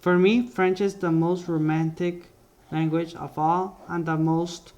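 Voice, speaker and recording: Slow, halting young man narrating. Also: fairly high pitch, little pitch movement, a little mellow. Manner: halting, restarts and corrects himself often. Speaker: vlogger. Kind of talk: casual narration over drawings.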